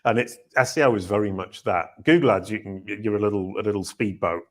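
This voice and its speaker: Host, fifties, from northern England; low voice, chatty and anecdotal on a video call. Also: male voice, fairly quick. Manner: chatty, anecdotal, uses analogies. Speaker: podcast host. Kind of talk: casual interview chat. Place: home room, indoors.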